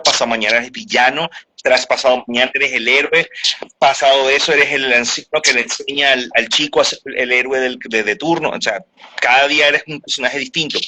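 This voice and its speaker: Bright, husky-voiced man explaining dubbing. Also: mid-range voice, low-quality recording. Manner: reflective, explains at length with restarts. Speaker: voice actor and dubbing teacher. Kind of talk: casual interview talk.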